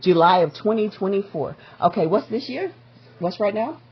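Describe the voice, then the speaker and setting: Husky woman arguing on a phone call, demanding answers, repeats herself, kinda muffled audio. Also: mid-range voice, animated, normal speed. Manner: insistent, demanding, repeats questions. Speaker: patient calling a medical provider. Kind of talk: confrontational phone conversation.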